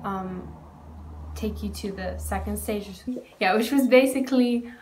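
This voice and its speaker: Young woman guest from Greece, mid pitch, loose and filler-heavy, laptop mic at home. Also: unhurried, darkish tone, slight background noise. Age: young adult. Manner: rambling, lots of "like" and "um". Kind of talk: casual chat. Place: small indoor room at home.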